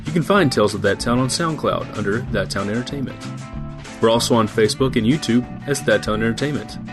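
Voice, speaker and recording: A man's voice: mid-range voice, fairly quick. A man reading scripted end credits as a show announcer. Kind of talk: scripted narration. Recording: guitar music behind voice.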